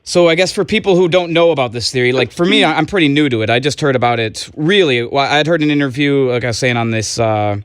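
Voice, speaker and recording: A young man hosts a radio interview, often stumbling over fillers and restarting his sentences. His voice sits at an ordinary middle pitch. The studio sound is close and dry. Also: fast talker, few pauses, clear, resonant tone, no echo. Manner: hesitant, many filler ums and restarts. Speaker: radio host. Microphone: studio microphone.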